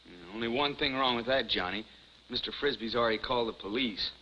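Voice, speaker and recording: An actor playing a naive, earnest young amateur detective with boyish, stammering eagerness in a 1950s television comedy. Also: male voice, mid-range voice, fairly quick, sharp tone, lightly acted. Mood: dejected. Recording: muffled, hissy 1950s television soundtrack.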